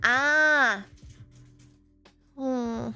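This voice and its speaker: A woman in her forties who grew up in Malaysia, a streamer answering chat in a halting way with many fillers. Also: high-pitched, bright, forceful tone, smooth, soft background music. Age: 40s. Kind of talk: live stream chat reading viewer comments.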